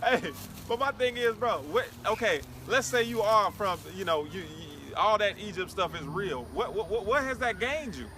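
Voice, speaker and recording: A man's voice: sits high, expressive, normal speed, few pauses, pushed and bright. A man co-preaching informally, reading scripture aloud and adding slangy interjections. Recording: outdoor recording with traffic noise.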